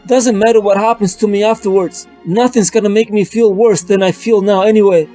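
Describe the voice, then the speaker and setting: A man's voice: high-pitched, steady tone, dark tone, faint music behind, lightly acted. A man voicing a bitter, vengeful male prison guard who talks grimly and in a rush. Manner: grim, hurried.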